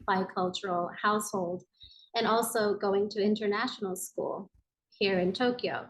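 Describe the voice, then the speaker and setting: A woman raised in Japan with an American accent, telling her personal story as a guest in an interview, earnest and reflective with soft hesitations. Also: mid-range voice, rough recording.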